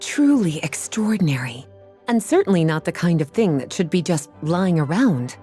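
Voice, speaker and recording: Game librarian witch, languid, teasing, mellow tone, lilting delivery. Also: fairly low pitch, sing-song, faint music behind. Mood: explaining. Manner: languid, unhurried, gently teasing. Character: sultry knowing witch librarian, calm and teasing. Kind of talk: video game voice acting.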